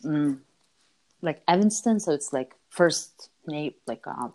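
Female interview guest, deep register, Lithuanian accent, informal and conversational, emphatic repetition. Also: expressive, slow, a little mellow, poor audio. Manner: informal, repeats words for emphasis, seeks agreement with tags. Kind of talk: casual conversational interview answers.

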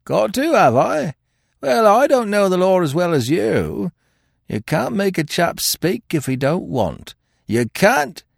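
A man's voice: high voice, huge pitch swings, normal speed, creaky, no echo, studio quality, voice pushed up, exaggerated voice. A man voicing a sullen, cunning and cheeky petty thief in an audiobook, with whining, defiant, slangy delivery. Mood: defiant, sulky. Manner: whining, indignant, defiant, colloquial grammar. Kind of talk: audiobook character voice.

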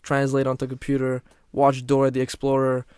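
A man's voice: medium pitch, completely flat delivery, brisk, a little mellow, clean tone, no echo. Earnest young man, dry close sound. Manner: earnest, many restarts and "literally". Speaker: guest. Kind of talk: casual podcast conversation.

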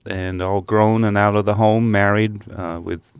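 Older man, very deep voice, smooth and resonant, dry close sound, easygoing. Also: little pitch movement, a little slow, dry recording. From England. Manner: easygoing, rambling. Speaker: guest. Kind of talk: casual conversation.

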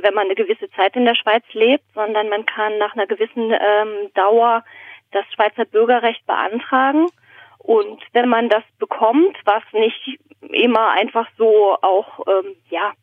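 A woman's voice: medium pitch, no echo. A woman from Germany chatting casually as a podcast guest over a phone line, in a hesitant, thoughtful manner. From Germany, born in Berlin, raised in southern Germany. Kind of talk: casual conversation, telling anecdotes and a joke. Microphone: phone call. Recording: thin, narrow telephone line.

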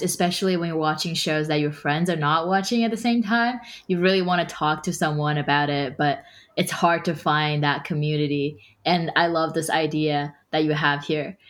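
A woman's voice: low-pitched, a bit bright. A woman co-hosting a podcast, asking the guest questions and responding with enthusiasm. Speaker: podcast co-host. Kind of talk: interview questions.

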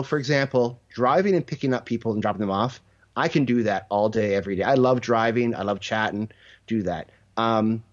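A man's voice: mid-range voice, lo-fi sound. A man speaking casually as an interview guest, telling anecdotes and voicing bits of dialogue. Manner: anecdotal, acts out quoted dialogue. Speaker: interview guest, leadership mentor. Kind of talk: casual conversational storytelling.

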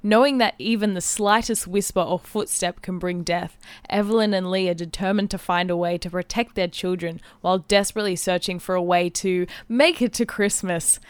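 A woman's voice: on the low side, steady tone, normal speed, clear, resonant tone, no room sound. A young woman chatting casually and enthusiastically as a giggly podcast co-host. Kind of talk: casual comedic podcast banter.

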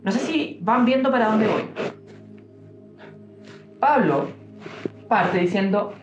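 Young chilean guy preaching casual, thinking out loud, echoey room, kinda dull audio. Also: high-pitched, animated, over music. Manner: reflective, musing, with frequent pauses and fillers. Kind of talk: informal devotional talk. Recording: roomy, dull-sounding room recording.

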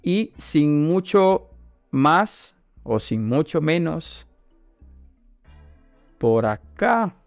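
A man's voice: a little high, very animated, drawn-out words, creaky, long pauses, no room sound, clean studio sound, faint music behind. A man hosting a casual bilingual interview, hesitant and often repeating his words. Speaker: interview host.

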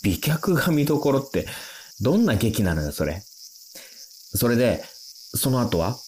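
A man's voice: medium pitch, big pitch swings, normal speed, darkish tone, a little hiss or hum, close to an everyday voice. A man playing a bewildered ordinary man in a radio drama, casual and wondering in his dialogue and first-person narration. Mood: probing. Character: bewildered ordinary man entrusted with a future device.

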